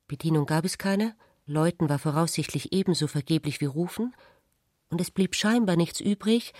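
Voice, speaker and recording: A woman reading scripted literary narration in a measured, deliberate manner. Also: lowish voice, a little mellow, dry recording, studio quality.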